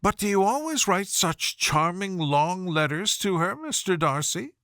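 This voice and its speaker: Man putting on a high, gushing young lady. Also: big pitch swings, unhurried, bright, forceful tone, dry recording, clean studio sound, exaggerated voice. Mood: gushing, flattering. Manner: effusive, fawning. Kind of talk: audiobook character voice.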